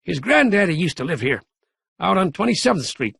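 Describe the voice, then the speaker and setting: A man voicing a spry, excitable old man with a high, shrill, puffing voice. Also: fairly quick, creaky, slightly rough, no echo, clean studio sound, exaggerated voice. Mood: crowing gleeful.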